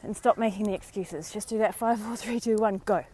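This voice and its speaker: An Australian woman talks casually into her phone while out walking, her voice a little hoarse and croaky from a sore throat. She speaks in a chatty, encouraging way, with plenty of ums and friendly asides, at a middling pitch. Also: fairly quick, dark tone, slight background noise. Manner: chatty, encouraging, many fillers. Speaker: vlogger and dating coach. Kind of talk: casual live-stream talk. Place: outdoors on a walk.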